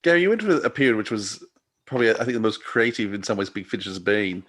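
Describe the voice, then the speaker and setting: A man's voice: on the low side, quick pace, fairly bright tone. A man interviewing a guest on a podcast, phrasing his questions in a tentative, conversational way.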